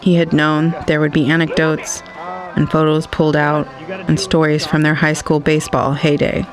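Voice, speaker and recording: A man reading scripted literary narration for an audio drama in a measured, wistful manner. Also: fairly high pitch, flat delivery, music in the background.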